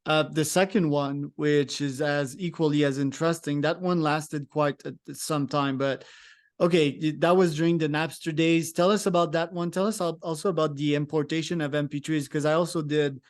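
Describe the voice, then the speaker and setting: A man hosting a podcast, asking questions in a halting way. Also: fairly high pitch, fairly even intonation. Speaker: podcast host. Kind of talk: interview questions.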